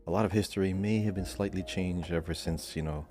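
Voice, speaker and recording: A man's voice: deep voice, steady tone, brisk, a little mellow. A man co-hosting a podcast, chatting casually and explaining video game lore. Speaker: podcast co-host. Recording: music playing behind.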